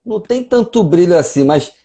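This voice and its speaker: A man's voice: sits high, animated, moderate pace, warm tone. A man hosting a casual interview, talking in a slangy, joking, buddy-like way. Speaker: host.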